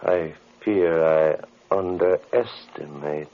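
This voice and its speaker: An actor playing a menacing, mockingly courteous villain sorcerer in an old radio drama, with a sinister, taunting delivery. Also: male voice, a bass voice, slow, noisy background, heavily acted. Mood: alarmed, angry. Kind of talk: 1940s radio drama acting. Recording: dull old radio recording.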